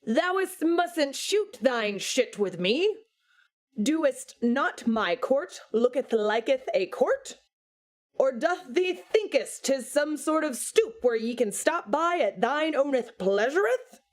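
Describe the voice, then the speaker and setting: A woman voicing a pompous male God who speaks in mock-archaic, grandly drawn-out phrases. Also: high voice, sing-song, voice pushed up, heavily acted. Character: pompous almighty male God, booming and theatrical.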